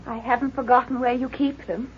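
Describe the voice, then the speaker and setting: An actress playing an eager, polite young secretary in an old-time radio mystery, flustered and apologetic in manner. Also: female voice, fairly high pitch, steady tone, a little fast, slight background noise, close to an everyday voice. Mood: anxious, urgent. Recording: dull vintage radio recording.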